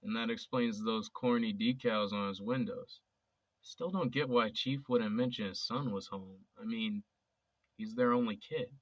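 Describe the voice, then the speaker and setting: A man voices an earnest young police officer who talks in a sincere, casual way. His voice sits fairly low. The recording is dry and dull, with a muffled lo-fi sound. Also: measured pace, no room sound. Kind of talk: audio drama acting.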